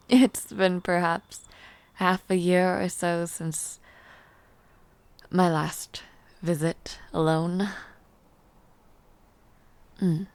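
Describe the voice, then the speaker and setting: A refined, weary noblewoman, acted by a woman in a fantasy audio roleplay. She speaks very slowly, in a slightly low register with a touch of fry. Her manner is formal, gentle and patient, with soft hesitations, and the sound is close and dry. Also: no echo. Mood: wistful, hesitant. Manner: measured, formal, hesitant, softly spoken with pauses. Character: refined, gentle, tired noblewoman ruler mentoring a newcomer. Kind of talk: fantasy audio roleplay, intimate one-sided dialogue.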